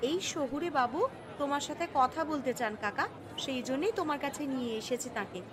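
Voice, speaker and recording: A woman's voice: sits high, lively intonation, brisk, a little hiss or hum. A woman playing a poor, humble young village mother in a cartoon story, speaking earnestly and respectfully. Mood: calling, polite.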